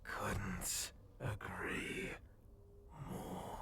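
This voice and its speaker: A man playing a sadistic cyborg bounty hunter boss in an ASMR horror roleplay, with a gravelly half-whisper and slow, taunting delivery. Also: very noisy. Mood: mocking menace. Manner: slow, menacing, drawn-out taunting.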